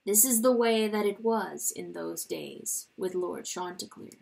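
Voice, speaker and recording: A woman reading a literary fable as a dramatic narrator, with solemn, measured and deliberate phrasing. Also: medium pitch, gravelly, a little mellow. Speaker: audiobook reader.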